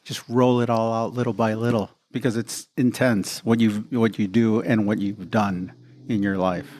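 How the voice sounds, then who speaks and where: A man's voice: fairly low pitch, level tone, moderate pace, darkish tone, slight background noise. A man hosting a podcast, enthusiastic and rambling, often restarting his sentences. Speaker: podcast host. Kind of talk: casual chat.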